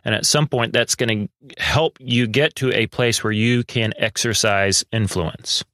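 A man's voice: fairly low pitch, unhurried, pushed and bright, dry recording. A man hosting a conversational podcast interview in a folksy way, recapping and paraphrasing as he goes. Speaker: podcast host.

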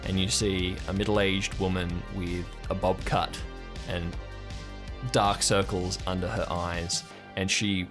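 A man's voice: sits low, a bit bright. A man narrating an improvised audio drama as game master, describing scenes aloud as he builds them.

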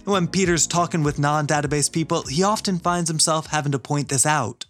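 A man hosting a podcast, giving a scripted introduction and ad read in a polished, measured way. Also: fairly high pitch, a bit bright, soft background music. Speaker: podcast host, university teacher.

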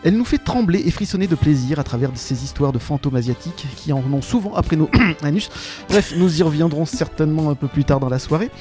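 A man's voice: fairly high pitch, expressive, fast, few pauses, dark tone. A man hosting a live show in a playful, mock-grandiose presenting style. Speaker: podcast host. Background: background music.

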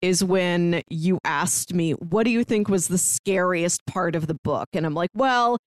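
A woman's voice: lowish voice, a little slow, no echo, clean studio sound. A woman co-hosting a podcast, chatting casually as she recaps a year of reviews. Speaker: podcast co-host.

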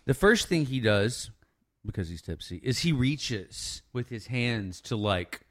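A man's voice: mid-range voice, very animated, a little slow, a bit bright. A man in his thirties telling a long story in casual chat, rambling and swearing freely as a podcast co-host. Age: 30s.

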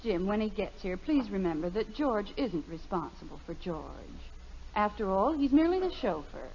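A woman playing a patient, gently chiding suburban wife in a 1950s radio comedy. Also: medium pitch, big pitch swings, moderate pace. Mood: calm explaining. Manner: placating, gently reproving. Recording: muffled, noisy old radio recording.

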